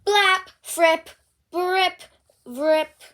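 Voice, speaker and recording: A reader voicing an excitable, bossy female storybook pig who exclaims and blurts out loud nonsense. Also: extremely high pitch, drawn-out words, voice pushed up, exaggerated voice. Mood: loud blurting.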